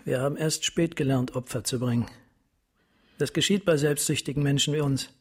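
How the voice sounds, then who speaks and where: A man playing a grave, resigned police captain in a radio drama, speaking deliberately and formally with a husky, commanding voice. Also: on the high side, steady tone. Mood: grave, insistent.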